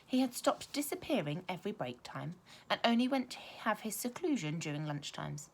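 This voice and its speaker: A woman reads a children's storybook aloud expressively, like a primary teacher, with careful emphasis and dramatic pauses. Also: medium pitch, sing-song, gravelly, breathy edge.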